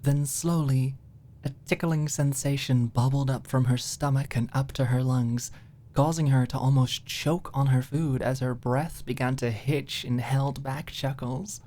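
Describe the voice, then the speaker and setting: A woman's voice: a bass voice, steady tone, unhurried, dark tone. A woman narrating a scripted audiobook in an ASMR style.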